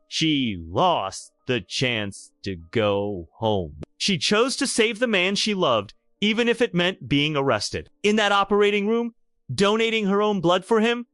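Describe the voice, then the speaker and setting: A man's voice: high voice, fairly bright tone, no echo, clean studio sound. A man narrating a scripted romantic drama in a theatrical, emphatic storytelling style.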